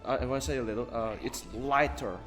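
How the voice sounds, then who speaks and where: A man giving casual, halting and rambling gameplay commentary as a streamer. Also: medium pitch, steady tone, a bit bright. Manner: casual swearing. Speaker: gaming streamer. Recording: long echoing reverb, music behind. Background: game music.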